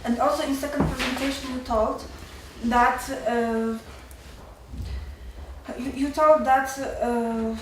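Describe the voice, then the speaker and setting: Polish student girl, nervous and stumbling over words, highish voice, super echoey room. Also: steady tone. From Poland. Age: 20s. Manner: halting, repeats and breaks off words. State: nervous, stressed. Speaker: university student asking from the floor. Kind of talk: unscripted seminar remarks. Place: university seminar room. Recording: very echoey hall, distant, faint noise.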